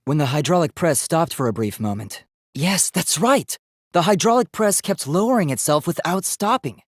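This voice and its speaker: A polite young male robot from a video game, speaks in a fairly high voice with very animated intonation. The delivery is formal, articulate and earnestly logical. The sound is dry, with no room on it. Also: normal speed, no room sound. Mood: certain, reasoning. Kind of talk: English video game voice acting.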